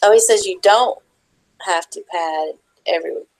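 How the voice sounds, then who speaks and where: Gravelly woman chiming in on a chat. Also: fairly low pitch, normal speed, poor audio. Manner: supportive, chiming in with short replies. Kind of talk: casual live chat.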